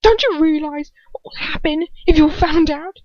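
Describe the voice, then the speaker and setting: A woman's voice: high-pitched, moderate pace, a little mellow, no echo, lo-fi sound, voice pushed up, lightly acted. A woman voicing a defiant, sneering young wizard son who mocks his father in an audiobook-style story reading, with emphatic, incredulous delivery.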